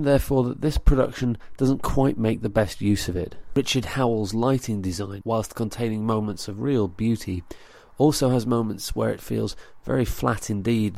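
A man delivering a scripted theatre review for a podcast in a measured, articulate, earnest way. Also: fairly low pitch, gravelly, mellow, no room sound.

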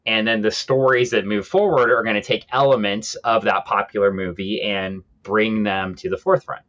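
A man's voice: lowish voice, steady tone, normal speed, fairly bright tone, muffled recording. A man co-hosting a podcast, explaining comics history in a casual, conversational way. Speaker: podcast co-host.

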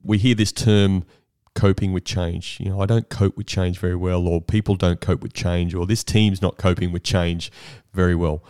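A man's voice: sits low, steady tone, normal speed, a little mellow, clean tone. A man hosting an interview, asking probing questions in a conversational way. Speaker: interviewer, host.

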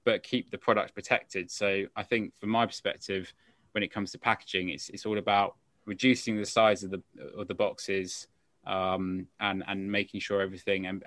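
A man's voice: sits low, fairly bright tone, no room sound. A man on a business panel describing his company's packaging in a casual, rambling way with many fillers. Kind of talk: panel discussion.

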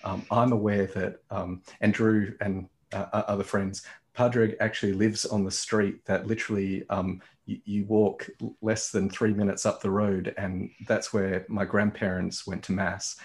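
A man hosting a reflective conversation, speaking haltingly with many pauses and restarts as he frames his questions. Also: lowish voice, flat delivery, darkish tone. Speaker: host.

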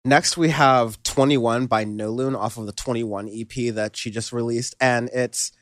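A man hosting a music podcast in casual chat, relaxed and rambling with lots of fillers and restarts. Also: mid-range voice, measured pace, no echo, clean studio sound. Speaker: podcast host.

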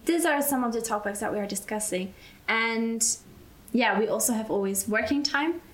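Young Belgian woman, unhurried and searching. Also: on the high side, animated. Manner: unhurried, searching for words, restarts. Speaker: interview guest, mission school student. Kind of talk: casual interview answers.

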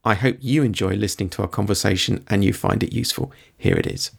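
A man hosting a podcast interview, speaking in a friendly, measured way with some hesitant restarts. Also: on the low side, vocal fry. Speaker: podcast host.

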